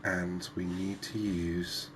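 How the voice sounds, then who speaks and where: Soft spoken guy doing asmr gaming commentary, really slow, flat tone, pauses to think. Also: deep voice, heavy background noise. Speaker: gaming video host.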